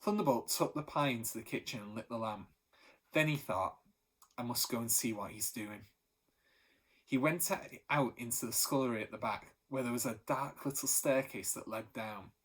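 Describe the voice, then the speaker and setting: A teacher reading a children's novel aloud with expressive storytelling. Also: male voice, mid-range voice, moderate pace, slightly rough.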